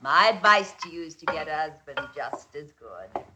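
An actress playing a bossy, doting village goodwife in old-time radio drama, with rustic, archaic phrasing. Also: female voice, low-pitched, sing-song, normal speed, noisy background. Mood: fond.

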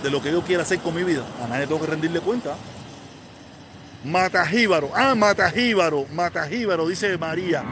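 A man's voice: a little high, fairly quick, noisy background. A man in his forties from Havana, speaking with a Cuban accent, chatting casually and rambling on a live stream, repeating himself and answering viewer comments. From Cuba. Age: 40s.

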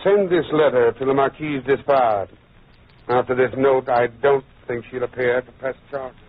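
A man playing a calm, probing, dignified French judge in old radio drama style, formal and measured. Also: medium pitch, close to an everyday voice. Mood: brisk, assured. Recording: muffled, tinny old radio recording.

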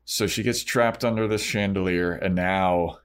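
A man's voice: low voice, steady tone, a little slow, clean tone. A man co-hosting a podcast, casually recapping a story in an informal, emphatic way. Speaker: podcast co-host.

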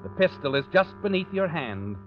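A man's voice: on the high side, big pitch swings, moderate pace. A man acting as a knowing, sinister narrator in 1940s radio suspense style, addressing the listener insinuatingly as you. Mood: knowing, ominous. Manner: insinuating second-person address. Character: knowing, sinister male narrator voicing a schemer's conscience. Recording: dull old radio recording with music.

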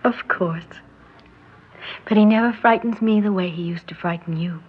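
An actress playing a devoted middle-aged daughter in an old radio drama, tender and soft-spoken. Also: female voice, mid-range voice, moderate pace, husky, close to an everyday voice. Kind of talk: 1960s radio drama acting. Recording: dull old radio recording with music.

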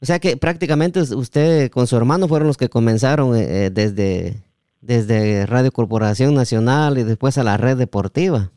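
A man's voice: medium pitch, moderate pace, mellow, no room sound, studio quality. A man hosting a podcast in a conversational interview style. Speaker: podcast host.

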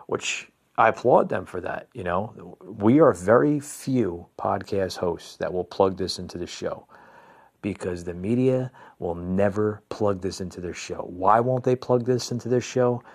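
An American man from New Jersey hosting a conservative podcast, giving unscripted, opinionated commentary in a halting, repetitive way and addressing listeners as folks. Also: lowish voice, slightly rough. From New Jersey, United States.

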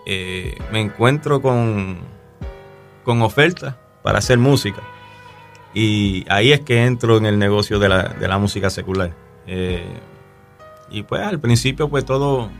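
A man with a Puerto Rican accent gives his testimony on a radio show, speaking in an easy, halting way full of fillers and restarts. Faint music plays softly beneath him. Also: lowish voice. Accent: Puerto Rican Spanish. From Puerto Rico. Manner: relaxed, hesitant, many fillers and restarts. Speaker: guest musician.

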